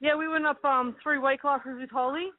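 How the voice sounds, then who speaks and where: A woman's voice: high voice, fairly even intonation, moderate pace, dry recording. A woman boxer giving brief, easygoing answers in a phone interview. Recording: tinny phone line.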